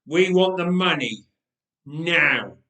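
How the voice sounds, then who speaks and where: A man's voice: on the high side, lively intonation, slow pace, bright, forceful tone, full character voice. Man putting on a growling, sneering thug in roomy echo. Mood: threatening. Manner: mocking, taunting. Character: menacing, sneering thug with a knife, debt collector. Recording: roomy, echoing space.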